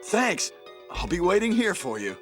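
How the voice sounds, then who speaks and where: Animated captive young cop. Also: male voice, high-pitched, moderate pace, faint music behind. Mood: anxious, stoic. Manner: stilted, exaggerated. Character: earnest young male police officer held captive. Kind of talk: cheesy 1990s video game voice acting.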